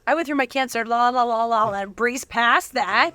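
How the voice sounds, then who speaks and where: A woman interviewing in a casual, conversational way, expressive and reactive, jumping in with questions and short reactions. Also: on the high side, normal speed, dry recording.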